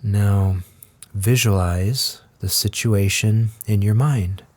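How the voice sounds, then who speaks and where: A man leads a guided meditation as the teacher, speaking gently and in a measured way with long pauses. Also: on the low side, dry recording. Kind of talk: guided meditation, slow scripted instruction.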